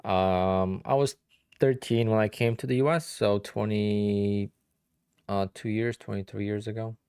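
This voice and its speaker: A man's voice: fairly low pitch, steady tone, measured pace, a little mellow, clear, resonant tone, no room sound, studio quality. A man in his thirties from Poland with a Polish accent, chatting casually on a live trading stream and answering viewers, sounding tired and sleepy. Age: 30s. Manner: chatty, answers viewer questions with many "like"s and "you know"s. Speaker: day trader streaming live.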